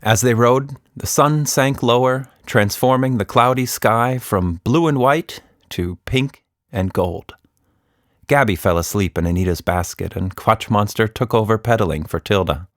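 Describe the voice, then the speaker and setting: A man narrating a scripted children's story in an expressive, dramatic storytelling manner. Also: on the low side, measured pace, no room sound, clean studio sound.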